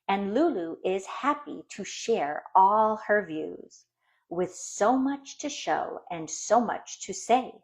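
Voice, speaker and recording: Slow-paced woman reading a children's story. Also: lowish voice. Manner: slow, deliberate phrasing for young children. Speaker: storybook reader. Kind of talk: expressive children's read-aloud.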